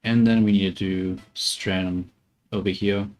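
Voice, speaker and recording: A man's voice: deep voice, little pitch movement, unhurried. A man streaming as he models a 3D character, talking to himself and his chat in a joking, muttering way. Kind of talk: casual live commentary while working.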